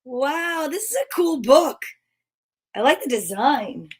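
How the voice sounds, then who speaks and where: A woman with a fairly high voice reads picture books aloud for a children's story time. She takes it very slowly, with expressive exclamations and casual, chatty asides to whoever is watching. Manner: expressive, gushing exclamations and gasps; chatty, self-interrupting asides to viewers.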